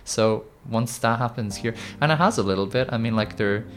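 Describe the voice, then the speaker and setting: A man's voice: lowish voice, brisk, a bit bright, a little hiss or hum. A man talking as a band member in a casual interview, rambling with many restarts and fillers.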